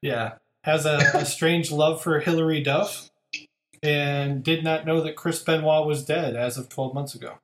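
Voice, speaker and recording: A man's voice: on the high side, fairly even intonation, a little slow, echoey room. A man hosting a podcast, chatting casually and upbeat with lots of fillers. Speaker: podcast host.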